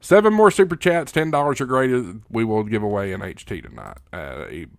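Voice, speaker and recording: A man's voice: fairly low pitch, very animated, a little fast, creaky, no echo, clean studio sound. A man hosting a casual live Q&A, reading out viewer comments and answering in a folksy, plain-spoken way. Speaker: livestream host.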